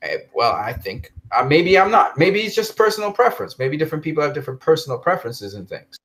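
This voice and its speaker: A man's voice: fairly high pitch, big pitch swings, fast, fairly bright tone. A man hosting a casual chat about films, speaking with enthusiastic emphasis.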